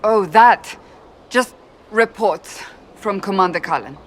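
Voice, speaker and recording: A woman's voice: mid-range voice, expressive, slow pace, a little hiss or hum. An actress playing a stern, proud woman warrior in a video game, clipped and defensive, earnest underneath. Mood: evasive. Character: stern proud woman warrior, flustered secret romance reader.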